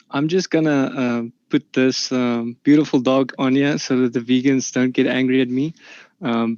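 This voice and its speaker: A man with an Afrikaans accent, a native Afrikaans speaker, giving a halting opening statement in an online debate with many fillers and restarts. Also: mid-range voice, a little mellow, dry recording. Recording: muffled lo-fi call audio.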